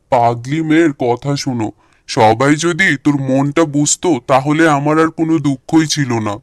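Man voicing a kindly farmer father, fairly high-voiced. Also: unhurried, dry recording, lightly acted. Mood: tender, apologetic. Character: kindly, worried farmer father of three daughters. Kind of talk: Bengali cartoon dubbing.

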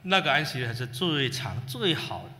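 A man's voice: mid-range voice, very animated, fairly quick, sharp tone. A man preaching a sermon through an interpreter, exhorting emphatically in short phrases. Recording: reverberant hall sound.

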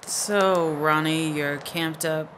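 An actress playing a wary, guarded, tough courier in a radio drama, with a dry, sardonic way of talking. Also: female voice, low voice, fairly even intonation, slow, a bit bright, slight background noise.

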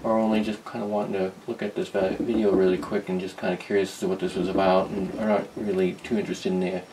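A man giving a casual, rambling, unhurried demonstration of a hobby project, talking as a maker. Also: low-pitched, level tone, darkish tone, a little hiss or hum, poor audio. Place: small cluttered indoor workshop.

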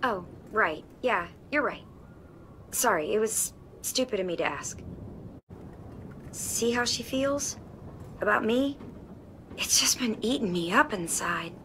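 A woman's voice: medium pitch, animated, takes it slowly, pauses often, fairly bright tone, slight background noise, poor audio. A woman playing an anxious young survivor in a game drama, speaking hesitantly and apologetically. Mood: embarrassed, then hesitant, pleading. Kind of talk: naturalistic video game drama acting.

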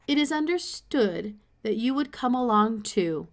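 A woman voicing a calm, kindly male scientist in a storybook, speaking in a measured, politely formal way. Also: fairly high pitch. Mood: calm, proposing.

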